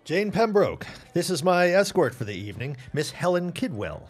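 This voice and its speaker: A man playing a socially bold 1910s gentleman at a party in an audio drama, with formal manners. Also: on the high side, big pitch swings, normal speed. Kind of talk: period audio drama acting. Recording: faint background noise.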